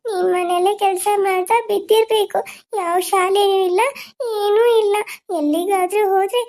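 A woman voicing a tearful orphaned little girl who pleads slowly through sobs. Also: very high-pitched, fairly even intonation. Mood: sobbing. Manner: sobbing, slow and pleading.

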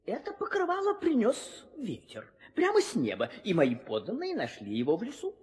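A man playing a childlike, excitable and forgetful monkey king in an old audio play, full of naive questions and repeats. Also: sits high, normal speed. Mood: flustered, possessive. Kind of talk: 1960s Soviet audio play acting. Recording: old studio record with long reverb.

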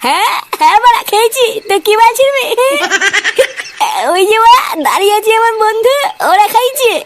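A rebellious cartoon lad who feels used and says so loudly. The voice is extremely high and squeaky, rattling along quickly in sweary rants. There is faint noise behind it. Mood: drunken defiance. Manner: ranting, swearing constantly. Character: foul-mouthed, rebellious, put-upon boy Futo, loudly griping. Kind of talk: comedy cartoon dialogue.

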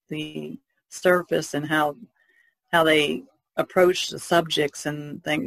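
A woman on a podcast panel, explaining things in casual, measured conversation. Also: low voice, gravelly, a bit bright, dry recording, low-quality recording. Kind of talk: casual discussion of art.

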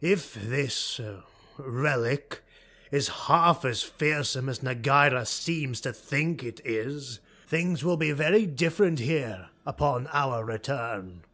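Man reading a calculating elf noble; measured, commanding, slow. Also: medium pitch, animated, sharp tone, full character voice. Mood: scheming. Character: cold scheming dark elf nobleman, male.

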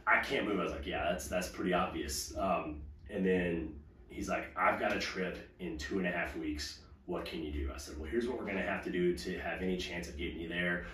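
Guy chiropractor chatting in his clinic, lowish voice, far from the mic, roomy. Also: brisk, a bit bright. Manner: easygoing, joking, explains while working. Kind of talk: casual clinic video talk. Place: small clinic treatment room. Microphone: camera mic from across the room. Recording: roomy, distant camera sound, faint noise.

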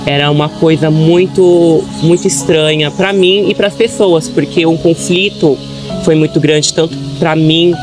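A woman in her twenties giving a soft, reflective personal testimony outdoors by a lake, pausing often. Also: low voice, steady tone. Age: 20s. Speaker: interviewee. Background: background music.

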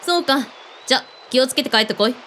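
Casting: covert team's commanding boss played by a woman, fairly high voice, brusque, casual, audio drama. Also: lively intonation, fast talker, bright, forceful tone, a little hiss or hum. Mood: friendly, upbeat. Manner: casual, blunt masculine phrasing, probing.

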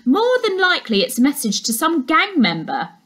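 A woman's voice: sits high, wildly swooping pitch, normal speed, sharp tone, pitched above the performer's own voice, exaggerated voice. A woman voicing a blunt, argumentative male dog walker in a storybook, emphatic and dismissive.

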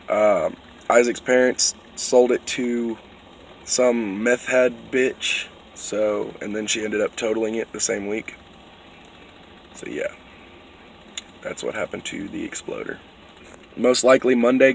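A young man talks into a phone held at arm's length in a moving SUV. His voice is going hoarse, and his talk is loose, joking and full of swearing. Faint road noise runs underneath. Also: mid-range voice. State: losing his voice. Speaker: vlogger. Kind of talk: casual car vlog.

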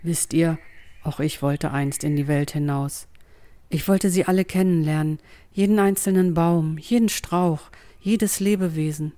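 A woman playing a gentle, mysterious old dryad in a fantasy audio drama, speaking calmly and deliberately. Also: low voice. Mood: wistful.

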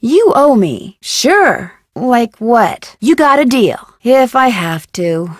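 A woman's voice: on the high side, very animated, slow, dry recording, clean studio sound, full character voice. A woman playing a friendly, curious young American amateur detective in a video game, speaking in a perky, inquisitive, conversational way. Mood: persuasive, then agreeable. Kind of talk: scripted game dialogue, conversational.

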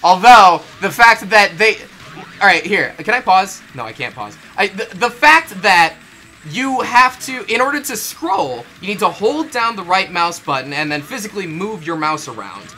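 A man's voice: sits high, big pitch swings, normal speed, sharp tone, a little hiss or hum. A young man chatting casually about a video game as a show co-host, giving his opinions emphatically. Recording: music behind the voice. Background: video game music.

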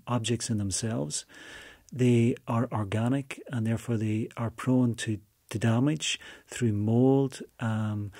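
An Irish man in his forties gives a documentary interview in a low, husky voice. His phrasing is formal and measured, with small hesitations, and the sound is close and dry. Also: a little mellow, no room sound. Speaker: university library special collections staff.

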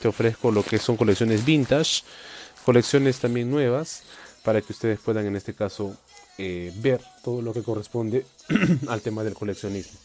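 A man's voice: lowish voice, moderate pace, a little mellow, faint music behind. A man hosting a live unboxing stream from a tabletop, chatty and upbeat, reading viewer comments aloud. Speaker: collector livestream host. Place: indoors at a table.

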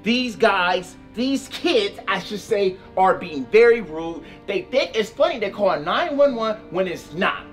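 Stern male cop played by a young man, lecturing, lively delivery, backyard skit, faint music behind. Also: high voice, measured pace, few pauses. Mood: stern, commanding. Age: young adult. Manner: stern, lecturing, repetitive commands. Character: stern male police officer scolding mischievous kids. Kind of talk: family skit acting. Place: outdoors in a backyard.

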